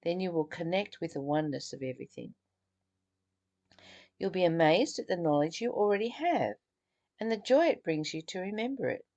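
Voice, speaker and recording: A woman's voice: on the low side, animated, normal speed, pauses often, warm tone, lo-fi sound. A woman reading aloud from a spiritual study text and adding her own earnest, reflective commentary, sometimes stumbling and repeating phrases. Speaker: spiritual teacher leading a book study.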